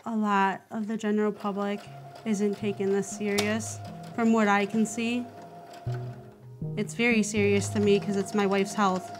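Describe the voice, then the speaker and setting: A woman's voice: mid-range voice, level tone, measured pace, over music. Anxious, earnest woman. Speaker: paramedic's wife. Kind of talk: emotional interview.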